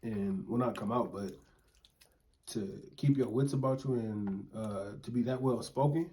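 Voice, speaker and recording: A man's voice: on the low side, unhurried, warm tone. Laid-back young man chiming in. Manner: laid-back, brief interjections. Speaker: vlogger's husband, co-host. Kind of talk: casual chat.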